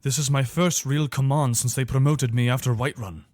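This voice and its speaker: A man voices a loyal imperial soldier from a fantasy war game. His voice sits at an average male pitch, with an earnest, weary, conversational soldier's manner. The recording is dry, with no room sound. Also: fairly even intonation, fairly quick, darkish tone, no echo, lightly acted. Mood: reflective, earnest. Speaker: voice actor for a game character. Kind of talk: video game character dialogue.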